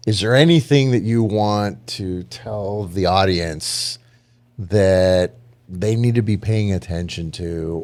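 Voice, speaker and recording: A man's voice: sits low, a little mellow. A man hosting a podcast interview, chatty and affirming, asking long rambling questions. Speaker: podcast host. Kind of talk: casual conversational interview.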